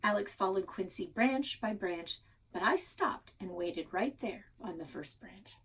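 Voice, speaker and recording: Expressive, slightly husky woman reading a story. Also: mid-range voice, unhurried, low-quality recording. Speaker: library read-aloud reader. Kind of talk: expressive children's book narration.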